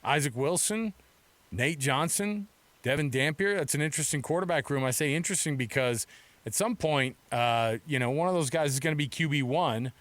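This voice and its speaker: A man's voice: fairly high pitch, moderate pace, a bit bright, no echo. A man hosting a sports radio show, chatting casually about the sport.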